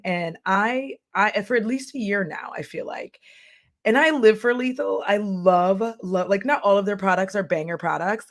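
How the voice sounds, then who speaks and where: A young adult woman streamer with a hoarse, worn voice, chatting candidly and rambling through a casual livestream. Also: mid-range voice. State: hoarse, losing her voice. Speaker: streamer and beauty commentator.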